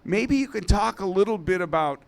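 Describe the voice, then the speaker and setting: A man's voice: high-pitched, expressive, brisk, creaky. A man moderating a conference panel, upbeat and informal as he sets up the discussion. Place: conference hall stage.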